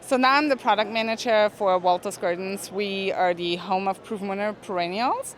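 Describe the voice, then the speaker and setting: Soft-spoken woman in her twenties. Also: mid-range voice, normal speed, slight background noise. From Austria. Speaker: plant product manager. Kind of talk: casual interview. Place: trade show floor.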